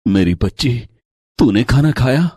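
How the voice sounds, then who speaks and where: A man's voice: mid-range voice, lively intonation, unhurried, dark tone, husky, no echo, studio quality. A man playing a poor, loving working father in a moral story, speaking tenderly, plainly and earnestly. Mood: tender, caring. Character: poor, loving father, a troubled hotel waiter. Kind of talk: Hindi moral story voice acting.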